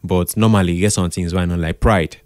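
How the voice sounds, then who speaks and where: A Nigerian man talking casually as an interview guest, expressive, slipping into Pidgin and repeating phrases for emphasis. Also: low voice, fairly quick, no echo, studio quality. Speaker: podcast guest, radio drama producer.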